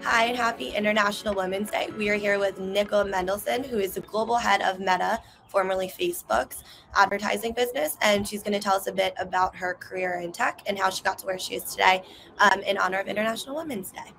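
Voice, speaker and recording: Quick-talking young woman interviewer. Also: medium pitch, vocal fry, fairly bright tone, soft background music, poor audio. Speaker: interviewer, news writer. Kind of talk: remote interview questions. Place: balcony, outdoors. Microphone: video call.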